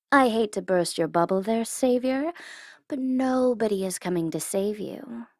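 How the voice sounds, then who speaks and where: A smug, gloating tsundere villainess performed by a woman for an audio roleplay. Her voice sits fairly high and she takes her time, teasing and taunting theatrically, sometimes sinking toward a whisper. The sound is dry and close. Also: no echo. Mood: gloating, mocking. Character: smug tsundere female supervillain gloating over her captive hero.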